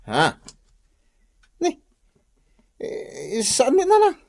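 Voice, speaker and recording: A woman's voice: high-pitched, huge pitch swings, extremely slow, gravelly, a little mellow, dry recording, clean studio sound, exaggerated voice. A woman acting a cheeky, whiny grown child in a comedy radio drama, exclaiming playfully with a Filipino accent. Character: cheeky grown child teasing and giggling at mother.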